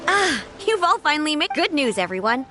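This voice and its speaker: High, bubbly young editor. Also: female voice, sing-song, fairly quick, music in the background. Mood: excited, congratulatory. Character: cheerful, eager young woman book editor. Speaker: game character. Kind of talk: video game voice acting.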